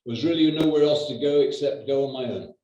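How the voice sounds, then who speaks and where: A man's voice: medium pitch, warm tone. Older man on an echoey distant call. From New Zealand. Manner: measured, with many hesitations. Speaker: real estate agent guest. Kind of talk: conversational interview.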